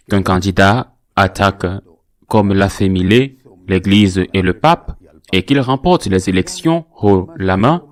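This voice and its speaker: A man presenting scripted religious news and editorial commentary in a measured, formal register. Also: on the low side.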